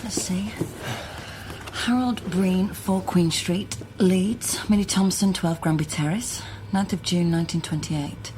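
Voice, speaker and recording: An actress playing a wry, weary but brisk woman researcher in a naturalistic radio drama, dryly muttering to herself. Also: on the low side, fairly even intonation, background noise, lightly acted. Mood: wry, musing.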